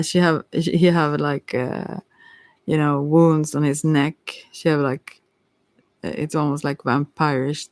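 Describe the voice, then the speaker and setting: A non-native English speaker giving a casual, unscripted tarot reading in a hesitant, halting way, searching for words. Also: medium pitch, creaky, dry recording. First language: not English. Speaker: tarot reader.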